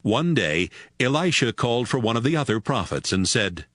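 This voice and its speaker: A man's voice: mid-range voice, sing-song, normal speed, a bit bright, dry recording. A man reading scripted narration for a dramatized audio Bible. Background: music.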